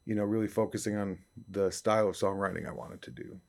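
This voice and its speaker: A young man from upstate New York talks in a raspy, fairly low baritone. He answers interview questions in a loose, easygoing way, with plenty of fillers and restarts. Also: flat delivery, quick pace. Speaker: country singer-songwriter guest. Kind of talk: casual interview talk.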